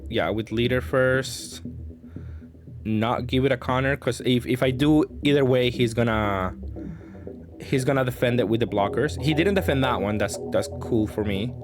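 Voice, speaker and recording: A man's voice: mid-range voice, normal speed, background noise. A man giving casual gameplay commentary, thinking aloud in a hesitant way as he plays. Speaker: card game player presenting match commentary. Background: music.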